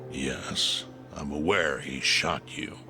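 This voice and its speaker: A grave older syndicate chairman in a video game cutscene. The voice is exceptionally deep, solemn and deliberate, as if holding secrets. Soft music sits underneath. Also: full character voice. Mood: serious, explanatory. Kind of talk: English video game dub acting.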